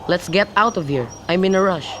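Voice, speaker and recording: A man's voice: high voice, a little fast, natural voice. A man playing an earnest, impatient young lover in an amateur radio play, with a recited delivery. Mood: pleading. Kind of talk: student radio drama, recited modern Shakespeare. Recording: faint outdoor noise with birds.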